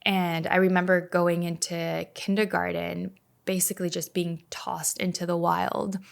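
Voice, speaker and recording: Woman, thirties, raised in canada, slovak first language, gravelly fry, unhurried, lots of "like". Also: fairly low pitch. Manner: relaxed, many "like" fillers. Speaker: podcast guest. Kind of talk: casual conversation.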